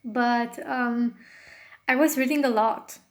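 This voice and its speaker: A woman's voice: on the high side, fairly even intonation, slow. A woman from Romania, an astrologer, talking casually in a rambling way with many fillers and non-native English phrasing. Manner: rambling, with frequent fillers and self-corrections. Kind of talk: casual personal monologue.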